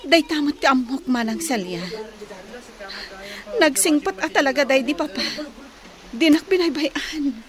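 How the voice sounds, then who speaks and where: An actress with an Ilocano accent playing a young señorita heiress in a radio drama, plaintive and earnest. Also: female voice, sits high, expressive, a little slow, long pauses, a crowd in the background. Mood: aggrieved. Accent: Northern Philippine (Ilocano).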